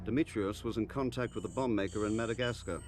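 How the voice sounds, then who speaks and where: A man's voice: on the low side, fast, darkish tone, lightly acted. A man playing a composed, formal intelligence aide giving briefings in a video game cutscene. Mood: measured. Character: composed male spy agency aide, deferential and factual. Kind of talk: scripted game cutscene dialogue. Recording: music behind the voice.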